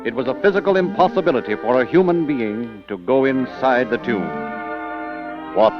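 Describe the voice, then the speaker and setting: A man narrating dramatic old-time radio stories in a theatrical, measured announcer manner. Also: medium pitch, animated. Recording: muffled old radio recording with music.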